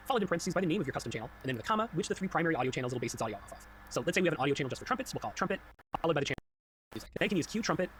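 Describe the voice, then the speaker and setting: A man's voice: a little high, a bit bright, a little hiss or hum. A man hosting a tutorial, reading scripted narration with casual, informal, slightly wry asides. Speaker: tutorial host and solo game developer.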